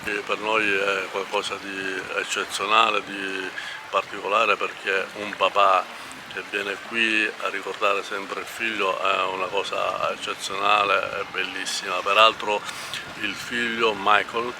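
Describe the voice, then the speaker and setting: A man giving an earnest, measured formal speech as a local community speaker. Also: on the low side, level tone, noisy background.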